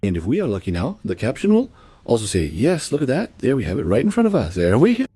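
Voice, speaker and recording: A man's voice: mid-range voice, wildly swooping pitch, quick pace, dark tone, breathy edge, no room sound. An actor playing a self-satisfied newspaper archivist in a radio play, chatting and musing as he goes. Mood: pleased, chatty. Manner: chatty, musing, pleased with himself.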